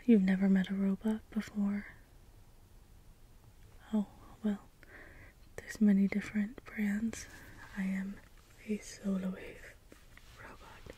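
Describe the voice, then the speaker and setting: A woman playing a gentle, naive, literal-minded robot caretaker in an ASMR role play, in a breathy whisper with halting phrases. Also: medium pitch, little pitch movement, poor audio. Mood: matter-of-fact, obliging. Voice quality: breathy whisper, mostly unvoiced. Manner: slow, halting, measured phrases, literal and matter-of-fact. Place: indoors, small room, close in front of backdrop. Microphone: close ASMR microphone.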